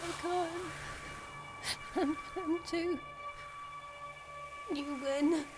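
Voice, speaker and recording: A woman's voice: very high voice, steady tone, extremely slow, heavily acted. A woman playing a young girl adventurer in a family radio play, worn out and despairing, in short faltering phrases. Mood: defeated, sorrowful. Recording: voice amid loud howling storm noise.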